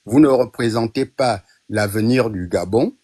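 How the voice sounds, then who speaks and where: A man taking part in a heated live online debate, stammering through sharp, sarcastic replies. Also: lowish voice, normal speed, husky, no echo, studio quality. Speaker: panel participant.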